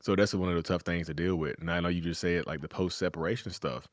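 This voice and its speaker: Deep-voiced fast-talking man host. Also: no echo, studio quality. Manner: animated, acts out quoted voices. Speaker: podcast host. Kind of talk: casual conversational interview.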